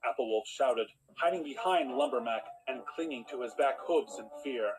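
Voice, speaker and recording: A man playing a measured storyteller, reading scripted storybook narration. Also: medium pitch, over loud music, lightly acted, poor audio.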